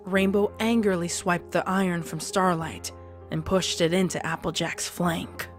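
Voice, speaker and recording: A woman's voice: lowish voice, fairly even intonation, unhurried, faint music behind. A woman reading scripted fan fiction as a dramatic story narrator.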